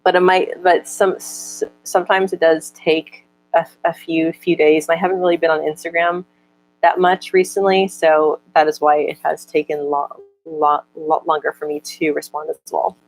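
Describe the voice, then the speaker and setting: Young woman, low-pitched, stutters, repeats words, casual chat vlogger. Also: little pitch movement, low-quality recording. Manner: reads out viewer comments slower. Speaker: vlogger answering viewer comments.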